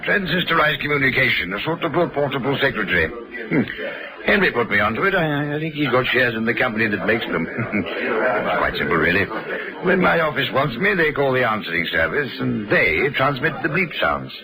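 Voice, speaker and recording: Stuffy British City chairman, lively intonation. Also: mid-range voice, brisk, poor audio. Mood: affable, self-satisfied. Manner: formal, droning boardroom delivery. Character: stuffy, well-to-do City company chairman, a man. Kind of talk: vintage radio drama acting. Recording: vintage radio drama, bar chatter behind.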